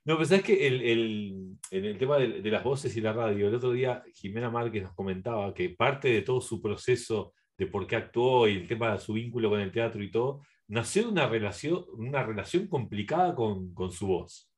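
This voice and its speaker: A man's voice: fairly low pitch, normal speed, few pauses. A man with a Uruguayan accent hosting a casual radio interview, rambling his way around his questions.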